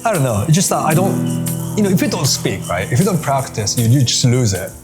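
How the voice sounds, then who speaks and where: A Japanese man speaks English with an accent that mixes American, British and Australian sounds. He talks in a chatty interview manner at a mid pitch, with many fillers and laughs. Clear music plays behind him. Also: very animated, quick pace. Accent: mix of American, British and Australian. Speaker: guest. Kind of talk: casual interview chat.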